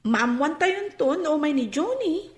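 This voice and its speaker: A woman's voice: high-pitched, expressive, a little slow, reverberant. A woman playing an observant younger family member in a radio drama. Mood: earnest. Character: high-voiced, observant younger relative reporting news.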